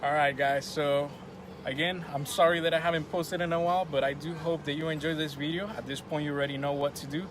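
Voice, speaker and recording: Casual man vlogging over clear background noise. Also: on the high side, level tone, brisk. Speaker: video creator showing a radio install. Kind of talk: casual hands-on vlog narration.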